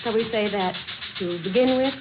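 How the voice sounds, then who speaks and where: A woman's voice: medium pitch, normal speed, lo-fi sound, close to an everyday voice. An actress playing a polite, earnest young woman applying for an office job, in a 1940s radio drama style. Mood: polite, composed. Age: young adult. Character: polite, earnest 22-year-old female office job applicant. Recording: thin, noisy old radio recording.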